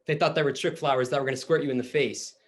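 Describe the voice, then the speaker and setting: A man's voice: medium pitch, steady tone, very fast, lightly acted. A man playing Samuel, a shy, sincere and slightly goofy young man trying to win over a girl, speaking over a video call. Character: Samuel, awkward earnest young man with a crush. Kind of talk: stage reading of a comic play.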